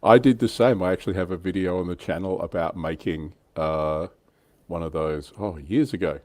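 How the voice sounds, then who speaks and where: A man with a low voice hosts a relaxed hobby livestream. He talks in a conversational, lightly teasing way and keeps prompting the others. The sound is dry, with very little room in it. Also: normal speed, no room sound. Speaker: host of a tabletop wargaming livestream. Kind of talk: casual hobby chat.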